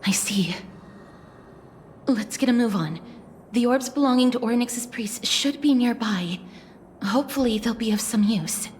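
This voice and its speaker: A woman playing a gentle, solemn and polite young woman in a fantasy video game, soft-spoken and measured in a formal way. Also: fairly high pitch, in a large hall, slight background noise, close to an everyday voice. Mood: composed. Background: background music.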